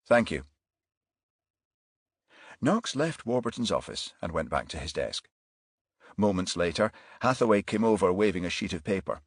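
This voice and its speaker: A man's voice: low voice, big pitch swings, normal speed, breathy edge, no echo, studio quality. A man narrating a crime thriller audiobook from a script.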